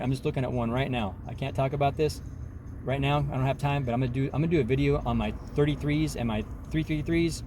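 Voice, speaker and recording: A man's voice: medium pitch, background noise. A man in his forties talking in a rambling, emphatic self-help monologue, full of restarts and repeated words. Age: 40s.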